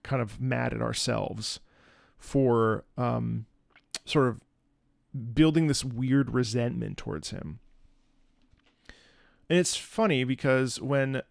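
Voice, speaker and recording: A man giving casual, candid reaction commentary as a host, thinking aloud in a halting, reflective way. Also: mid-range voice, creaky, dry recording, studio quality. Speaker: host, opera singer reacting to a game.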